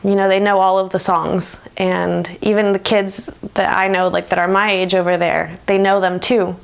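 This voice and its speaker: A woman in her twenties answers interview questions in an American accent. Her voice has noticeable vocal fry and sits at a medium pitch. She talks in an informal, reflective way. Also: little pitch movement, moderate pace, low-quality recording. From United States. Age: 20s. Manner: informal, often laughs while talking. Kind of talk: reflective, conversational personal interview.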